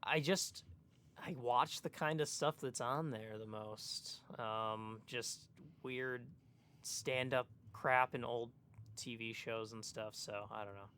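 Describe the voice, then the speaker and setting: A man's voice: mid-range voice, fairly even intonation, takes it slowly, fairly bright tone, a little hiss or hum. A man co-hosting a casual chat, thinking aloud and hesitating as he works out his answers. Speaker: podcast co-host.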